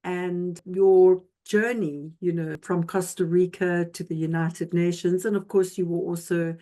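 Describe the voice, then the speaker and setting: A woman's voice: on the low side, steady tone, a little slow, dark tone. A woman from South Africa hosting a leadership interview podcast, delivering an effusive, emphatic introduction and questions with frequent fillers. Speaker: podcast host.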